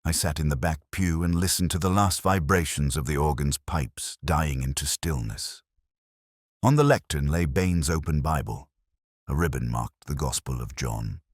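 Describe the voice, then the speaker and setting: Male narrator for detective fiction: extremely low register, lively intonation, solemn and measured, close dry sound. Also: no echo. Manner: measured, literary, solemn delivery. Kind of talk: scripted first-person detective story narration.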